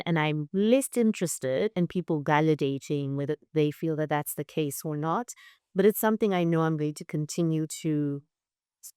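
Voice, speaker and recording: A woman's voice: low voice, darkish tone, clear, resonant tone, no room sound, studio quality. A young adult woman, a guest in an interview, talking thoughtfully and often checking in with "right?". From South Africa. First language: Shona. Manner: thoughtful, frequent "right?" tags. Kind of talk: reflective interview talk.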